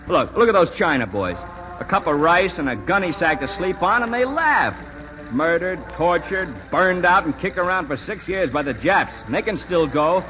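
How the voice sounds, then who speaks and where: A man's voice: high voice, animated, normal speed, pushed and bright, soft background music. An actor playing a brash, cheerful young American airman in an old-time radio drama, with breezy, emphatic slang. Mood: impassioned. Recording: noisy, dull vintage radio recording.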